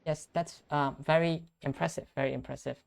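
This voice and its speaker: A young man hosting a conversational podcast interview in a measured, earnest way. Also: a little high, steady tone, creaky, smooth. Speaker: podcast host.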